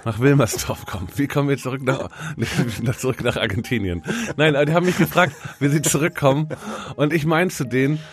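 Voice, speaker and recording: A man's voice: medium pitch, brisk. A man with a cold co-hosting a radio show, telling rambling, self-mocking anecdotes in casual banter. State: has a cold, congested. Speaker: radio co-host. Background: faint music.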